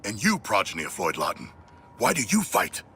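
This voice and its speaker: A man's voice: on the low side, wildly swooping pitch, a little fast, a bit bright, slight background noise, full character voice. A man playing a pompous, theatrical squad member in a video game, with grandiose, formal phrasing. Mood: solemn, curious. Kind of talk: dramatic video game acting.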